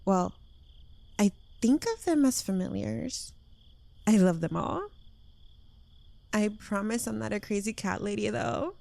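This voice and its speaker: Woman acting a slow, creaky-voiced possessive witch girlfriend. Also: mid-range voice, lively intonation, mellow, no echo. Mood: clingy, unhinged sweetness. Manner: coy, teasing, lingering delivery dropping into breathy whispers. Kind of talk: intimate ASMR roleplay, addressed to the listener.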